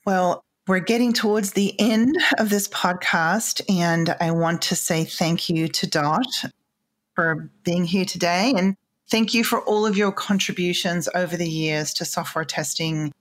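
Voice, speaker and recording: A woman hosting a podcast interview, encouraging her guest and quick to agree with her. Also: lowish voice. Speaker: podcast host.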